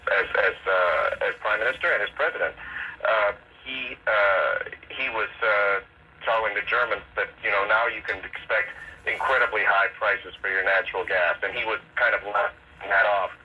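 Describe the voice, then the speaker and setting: An American man giving analysis as a radio interview guest, halting and repeating words as he explains, heard over AM radio. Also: fairly low pitch, steady tone. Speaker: guest national security analyst. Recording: dull, noisy AM radio reception.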